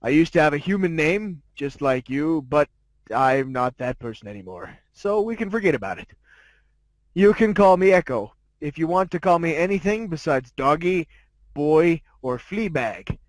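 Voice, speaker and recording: A man's voice: a little high, lively intonation, moderate pace, dry recording, close to an everyday voice. A man voicing a snobby, sardonic diamond dog who narrates his life story in a haughty, jaded, wry manner. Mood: indignant. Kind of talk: dramatic fan fiction narration.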